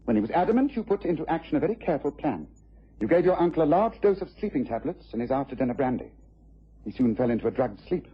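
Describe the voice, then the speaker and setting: An actor playing a shrewd, methodical English gentleman detective, precise and commanding, in a mid-century radio drama style. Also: on the high side, very animated, quick pace, husky, exaggerated voice. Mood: assured, accusing. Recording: dull, muffled vintage radio recording.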